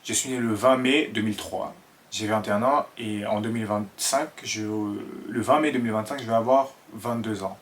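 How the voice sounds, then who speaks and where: A man in his twenties from Ivory Coast with an Ivorian French accent, talking to camera in an earnest, halting way full of fillers. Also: lowish voice, steady tone. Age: 20s. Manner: hesitant, many fillers and restarts, earnest. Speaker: vlogger. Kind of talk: casual talk to camera.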